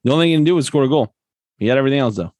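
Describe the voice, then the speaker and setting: A man of middle pitch co-hosts a relaxed sports podcast, chatting easily and laughing often. His voice sits close and dry, with little room sound around it. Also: rapid-fire, dry recording. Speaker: podcast co-host. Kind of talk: casual sports chat.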